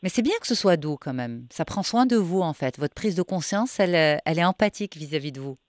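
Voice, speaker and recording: A woman's voice: low voice, animated, fairly quick, a little mellow, dry recording. Woman, interviewer, reflective and probing, conversational questioning, dry recording.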